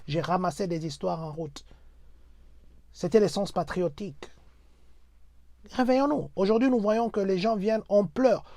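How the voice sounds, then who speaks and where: Middle-aged man, Congolese French accent, fairly high voice, dry close sound; impassioned civic speaker who repeats rallying phrases. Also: normal speed, long pauses, dark tone, no echo. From Democratic Republic of the Congo. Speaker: community speaker addressing compatriots. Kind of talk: motivational civic address. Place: United States.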